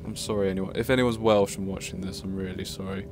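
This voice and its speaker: A man's voice: low-pitched, fairly even intonation, quick pace, noisy background. A man casually commentating his gameplay, chatty and self-mocking, swearing at himself when stuck. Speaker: let's play commentator. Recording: game music playing behind.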